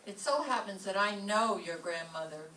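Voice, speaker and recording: A woman plays a wry, sharp-tongued schoolteacher in an old-time radio comedy. She delivers her lines with deadpan sarcasm. The sound is distant and echoey, with faint noise. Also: medium pitch, normal speed. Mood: dry, wry. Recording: distant roomy stage recording, faint noise.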